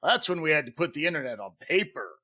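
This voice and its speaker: A man's voice: a little high, sing-song, fast talker, sharp tone, full character voice. A man playing a cranky, boastful old gnome ranting combatively in a comedic audio drama. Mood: cranky bragging.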